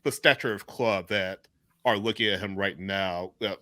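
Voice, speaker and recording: A man hosting a casual live sports chat, agreeable and a little halting as he talks. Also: low voice, pushed and bright, no room sound, studio quality. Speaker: sports podcast host.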